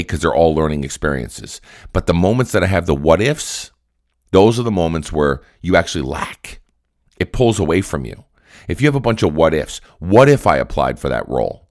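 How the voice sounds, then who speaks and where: Very deep raspy man with a cold. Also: dry recording, studio quality. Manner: earnest, repetitive, coaching tone. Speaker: podcast host. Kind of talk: motivational solo podcast talk.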